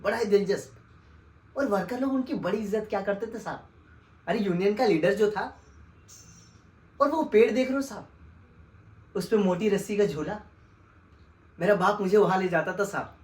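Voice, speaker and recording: A woman performing a dramatic monologue as a desperate orphaned son, pleading with a sahib, stammering and swinging between hushed and wailing delivery. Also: mid-range voice, close to an everyday voice. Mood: wistful. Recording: echoey room.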